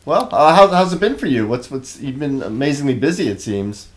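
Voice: mid-range voice, animated, a little fast. Hesitant interviewer asking questions. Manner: hesitant, many fillers and restarts. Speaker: interviewer host.

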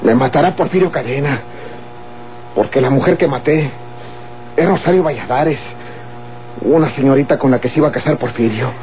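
An actor playing a young, hot-tempered, lying bandit with a Mexican accent in an old radio drama. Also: male voice, medium pitch, normal speed, long pauses, close to an everyday voice. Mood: pleading, scheming. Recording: muffled old radio recording with mains hum.

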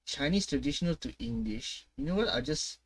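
A man's voice: fairly high pitch, lively intonation, a little fast, slightly rough, rough recording. A man in his twenties giving casual reaction commentary, often hesitant as he thinks aloud. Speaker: gaming reaction video host. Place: small room at home.

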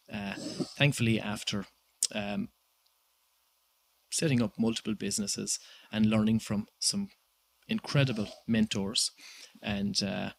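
Low gravelly Irish man. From Ireland. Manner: measured, with many "uh" and "um" fillers. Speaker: guest entrepreneur. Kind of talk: reflective interview answers.

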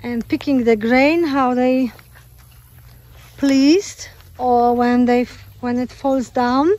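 A woman's voice: high-pitched, fairly even intonation, slow, a little mellow. A woman casually narrating a walking tour of her homestead outdoors, speaking unhurriedly with fillers and a turn of phrase. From Australia. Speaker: homesteader giving a farm tour. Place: outdoors in a rural garden with poultry. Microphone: phone camera held while walking.

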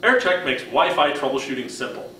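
A man's voice: medium pitch, animated, fairly quick, sharp tone, roomy sound, a little hiss or hum. A man in his fifties with an American accent gives a scripted product demonstration in a friendly, instructional way. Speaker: product presenter.

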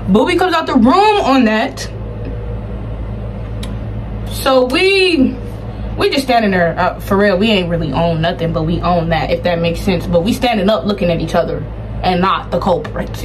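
An American woman chatting casually to viewers, telling a personal story in a slangy, animated way with acted-out asides. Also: a little high, a little hiss or hum, rough recording. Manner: slangy, animated retelling with acted-out asides and repeated check-ins.

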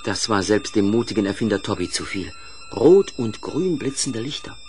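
Animated low-voiced male storyteller. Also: a little hiss or hum. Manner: dramatic, deliberate storyteller with suspenseful pauses. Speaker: narrator. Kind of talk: scripted children's story narration, 1970s audio play.

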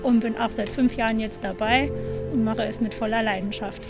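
A woman's voice: a little high, steady tone, brisk, over loud music. A woman in her early forties who plays football, speaking in an upbeat, eager way. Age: 40s. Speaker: amateur football player. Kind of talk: casual interview answers.

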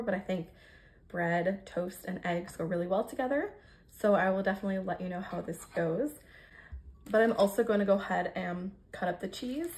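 An American woman in her thirties with a creaky voice, chattily narrating her cooking step by step in a casual, informal way. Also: mid-range voice. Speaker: home cook vlogger. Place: home kitchen, indoors.

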